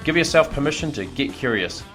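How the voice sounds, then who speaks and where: A man's voice: on the high side, expressive, brisk, music in the background. A man with a New Zealand accent hosting a business podcast in a studio, with an upbeat, enthusiastic delivery. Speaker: podcast host, business coach. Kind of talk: scripted podcast intro and interview.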